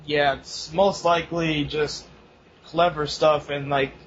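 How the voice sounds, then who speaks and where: A man's voice: a little high, flat delivery, slow, a bit bright. A young man co-hosting a casual podcast, rambling, swearing and laughing often. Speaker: podcast co-host. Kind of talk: casual chat. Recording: dull lo-fi recording, faint noise.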